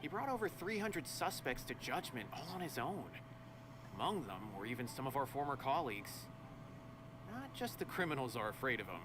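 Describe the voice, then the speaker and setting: A man's voice: medium pitch, big pitch swings, fairly quick, background noise, natural voice. An actor playing a dutiful, friendly male law officer in a video game, speaking earnestly and politely as he explains. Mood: uneasy respect.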